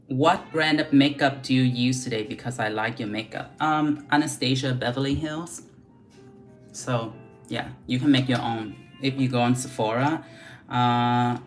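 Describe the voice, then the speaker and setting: A young woman with a Vietnamese accent mixed with Australian English, chatting frankly on a makeup livestream and reading out viewer questions. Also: a bass voice. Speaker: beauty vlogger doing a livestream. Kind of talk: casual livestream chat while doing makeup.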